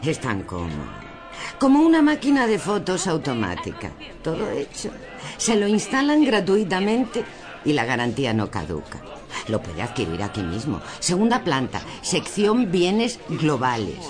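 A man's voice: on the high side, huge pitch swings, moderate pace. A man playing a docile, smug female shopper in a surreal radio drama, theatrical and preachy, with a Castilian Spanish accent. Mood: blissfully smug. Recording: music under the dialogue.

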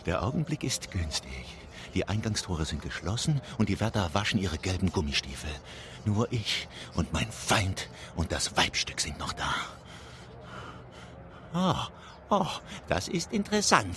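An actor playing a pompous, boastful brewery owner and vengeful betrayed husband in a theatrical radio play. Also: male voice, on the low side, big pitch swings, moderate pace, a bit bright. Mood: bitter, plotting. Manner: theatrical, self-important, gloating. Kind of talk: theatrical radio drama monologue.

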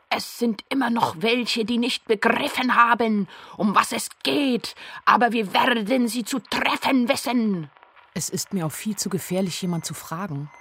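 A woman's voice: mid-range voice, fairly bright tone, breathy edge. An actress playing a naive young woman who tells her story candidly and wryly in a radio play. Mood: anxious musing. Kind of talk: radio play first-person narration.

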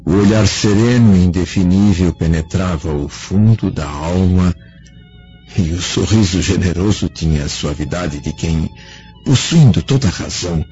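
An actor playing a remorseful aging Roman senator in a radio drama, solemn and declamatory, with a husky voice. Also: male voice, low voice, normal speed, warm tone, lightly acted. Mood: solemn wonder. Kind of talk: Brazilian radio drama acting. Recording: dull lo-fi radio drama recording, music.

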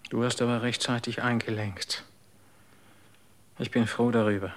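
A man's voice: mid-range voice, flat delivery, normal speed, natural voice. A man playing a devoted, worried companion. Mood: earnest. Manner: gentle and earnest, often hushed. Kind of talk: radio drama acting.

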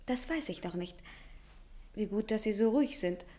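A woman's voice: mid-range voice, expressive, moderate pace, mellow, close to an everyday voice. An actress playing a young woman visitor in a German radio play, earnest and guarded. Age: young adult.

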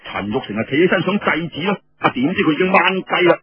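A man with a Cantonese accent telling a story in a colloquial, animated, conversational way. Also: fairly high pitch, quick pace, lo-fi sound. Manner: animated, conversational, ends phrases with drawn particles.

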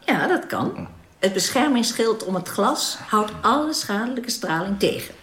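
Very animated, courteous alien guide. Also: female voice, medium pitch, unhurried, reverberant. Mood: courteous, informative. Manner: courteous, matter-of-fact explaining. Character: polite alien woman guide showing guests their quarters. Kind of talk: radio drama acting.

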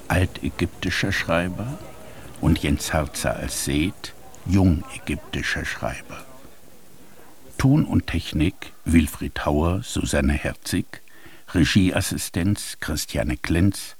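A man with a husky voice reading scripted credits as a radio announcer. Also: rumbling low, darkish tone.

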